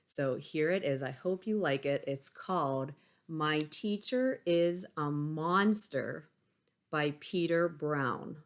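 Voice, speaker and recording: A woman teacher reading a picture book aloud to young pupils, expressive, with chatty asides. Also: low-pitched, takes it slowly. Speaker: elementary school teacher.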